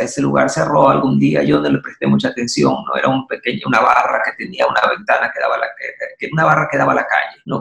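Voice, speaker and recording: A man with a heavily gravelly, creaky voice tells his story slowly and thoughtfully. He hesitates often and restarts his sentences. The sound is roomy and echoing, like a remote call. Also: medium pitch, a bit bright. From Ecuador. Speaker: artist and university teacher. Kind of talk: interview guest, reflective storytelling.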